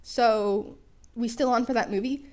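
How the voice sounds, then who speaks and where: A woman voicing a cheeky, flippant teenage boy in a story, taunting and joking in deadpan. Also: fairly high pitch, a little slow, lightly acted. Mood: teasing. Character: cheeky, flippant, reckless teenage boy who shrugs off death.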